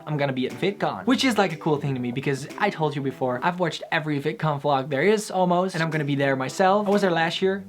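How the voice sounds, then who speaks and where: Upbeat young Dutch man chatting. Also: high-pitched. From Netherlands. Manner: chatty, upbeat, quick to laugh at himself. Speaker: musician and producer. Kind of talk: casual Q&A vlog.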